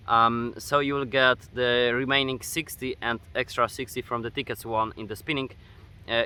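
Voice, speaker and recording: A young man of eighteen with a Polish accent answers viewer questions outdoors, speaking into a camera facing him. His voice is bright and clear, and he talks hesitantly, filling gaps with uh and um and restarting his sentences. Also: mid-range voice. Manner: hesitant, many fillers and restarts. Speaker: gaming YouTuber. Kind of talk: casual Q&A vlog. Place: outdoors at dusk.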